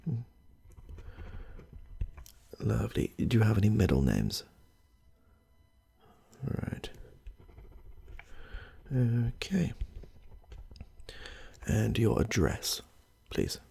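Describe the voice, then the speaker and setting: A British man playing a polite, chatty therapist in a gentle, soft-spoken ASMR roleplay. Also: on the low side, very animated, very slow, vocal fry, long pauses, husky, exaggerated voice. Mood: welcoming, reassuring. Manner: gentle, reassuring, murmuring small approvals. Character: polite, chatty, slightly odd male therapist greeting a client.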